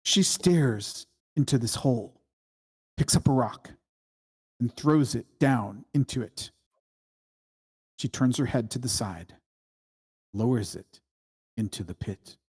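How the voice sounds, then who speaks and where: A mid-pitched narrator reads screenplay stage directions aloud at a table read. The delivery is slow and deliberate, broken into short phrases. The voice has a husky, unpolished grain. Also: a little mellow. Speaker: screenplay reader.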